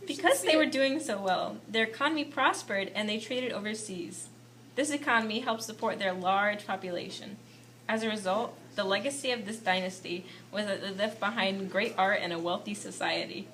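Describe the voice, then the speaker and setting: A woman's voice: medium pitch, moderate pace, fairly bright tone, natural voice. A woman playing a patient puppet history teacher, delivering a scripted lesson to an unruly class in an amateur show. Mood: instructive. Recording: faint background noise, amateur recording.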